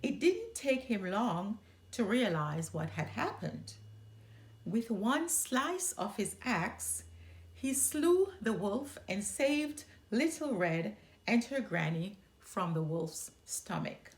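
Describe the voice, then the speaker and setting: A woman narrating a children's story as a storyteller, expressive and deliberate. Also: medium pitch.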